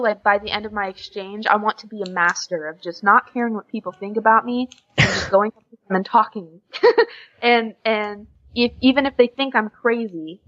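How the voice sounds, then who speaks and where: A woman's voice: medium pitch, fairly bright tone, lo-fi sound. A young American woman chatting casually and openly as a guest in an interview. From USA. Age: young adult. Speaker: podcast guest, exchange student.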